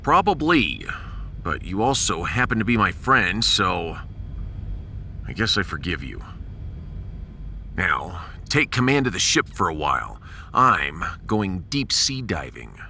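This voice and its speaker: A man's voice: deep voice, big pitch swings, slow pace, long pauses, sharp tone, slight background noise, voice pushed down, full character voice. A man playing a firm, decisive starship captain with a dry manner in a science fiction audiodrama. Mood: wry.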